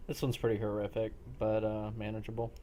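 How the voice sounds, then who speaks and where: A man narrates a role-playing game in a soft-edged, dark voice. His delivery is flat and matter-of-fact, improvised as he goes. A faint noise sits behind him. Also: on the low side, a little fast, highs cut off. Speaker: game master.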